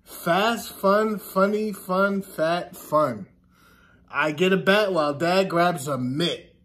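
Slow, high-voiced man reading to children. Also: fairly bright tone. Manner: sing-song, deliberate, stressing repeated words. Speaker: teacher reading aloud to children. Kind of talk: children's picture book read-aloud. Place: classroom, indoors.

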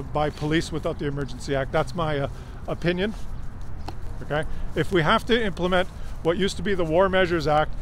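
Middle-aged man, Canadian accent, halting delivery, fairly high pitch, opinion vlog, faint open-air noise. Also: a bit bright. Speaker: vlogger. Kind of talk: opinion monologue to camera. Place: outdoors in an open grassy park. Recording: faint outdoor background noise.

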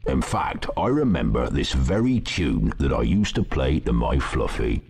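A man playing a huge, kindly, gruff giant gamekeeper with a West Country accent in a video game, giving folksy cautions. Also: a bass voice, sing-song, a little slow, darkish tone, heavily acted. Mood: friendly, warning. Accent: English West Country. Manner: plain-spoken, cautioning, folksy. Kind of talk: video game character dialogue.

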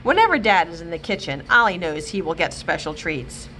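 A woman librarian reading a children's chapter book aloud with expressive, animated storytelling. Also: fairly low pitch, brisk, a little hiss or hum, poor audio. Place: library, indoors.

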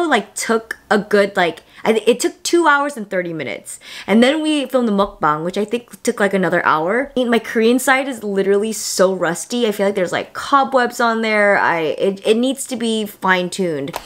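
A woman in her twenties talking casually to camera in a home vlog, upbeat and chatty with lots of filler words. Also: medium pitch. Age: 20s. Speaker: vlogger. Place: small home studio room indoors.

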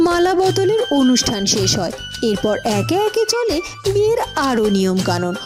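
A woman narrating a cartoon fairytale in a lively, expressive way. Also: high voice, moderate pace, music in the background. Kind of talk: storybook narration.

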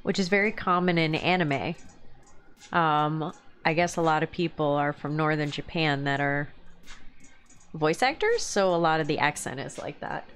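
A woman's voice: deep voice, normal speed, a bit bright, clean tone, faint music behind. A woman in her thirties co-hosting a live painting stream, chatting casually and laughing often between remarks. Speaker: livestream co-host and miniature painter. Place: home hobby room, indoors.

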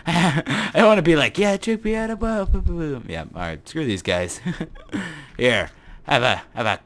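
A young man giving casual, rambling commentary over his own gameplay, joking at himself and breaking into laughing shouts. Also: a little high, animated, a little slow. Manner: rambling, self-mocking, bursts into laughing shouts. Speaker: gaming YouTuber.

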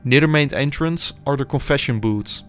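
A young man reads scripted documentary narration in a measured, explanatory way. His voice sits at an ordinary middle pitch, and faint music plays underneath. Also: a bit bright. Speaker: urban explorer narrator.

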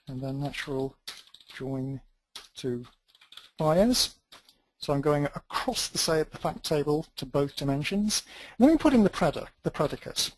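Man giving a live software demo. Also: on the high side, low-quality recording. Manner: dry, self-deprecating, thinks aloud while typing. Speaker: database instructor.

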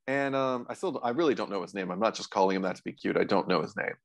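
A man's voice: lowish voice, fast, gravelly. A man in his forties talking casually as a co-host discussing films on a video call from a room at home.

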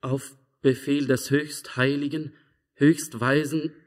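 An actor playing a deferential, solemn imperial chamberlain in a formal, measured 1950s radio drama style. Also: male voice, mid-range voice, little pitch movement. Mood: grave, proclaiming.

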